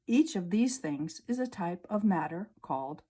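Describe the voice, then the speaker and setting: A woman teacher reading a children's science book aloud, slowly and clearly, at a table indoors. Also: on the low side, lively intonation, warm tone. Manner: slow, clear storybook reading. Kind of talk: read-aloud lesson for children.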